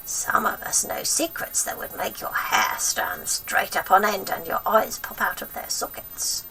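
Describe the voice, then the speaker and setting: A woman's voice: mid-range voice, sing-song, unhurried, bright, forceful tone, far from the mic, exaggerated voice, low-quality recording. A woman voicing a sinister, gloating old grandmother in a storybook, drawing out nasty words with menacing relish. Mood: eerie, threatening. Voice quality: throaty, breathy whisper at times.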